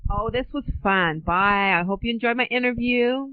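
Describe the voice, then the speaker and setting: A woman's voice: fairly high pitch, normal speed, clean tone, dry recording. A middle-aged woman answering as an interview guest, casual and playful, in short, blunt phrases. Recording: tinny, narrow phone-like line.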